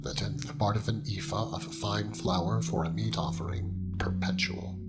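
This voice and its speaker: Man reading scripture solemnly over loud music. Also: on the low side, fairly even intonation, unhurried. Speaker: scripture narrator. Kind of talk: solemn dramatized Bible reading. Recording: prominent music under the voice. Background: loud instrumental music.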